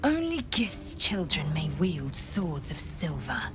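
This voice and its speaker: A woman's voice: low voice, very animated, full character voice. A woman playing a fierce, devout githyanki warrior in a video game, speaking formally and with reverence. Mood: solemn, resolute. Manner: solemn, measured, formal, reverent. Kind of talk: dramatic video game acting. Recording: music behind the voice.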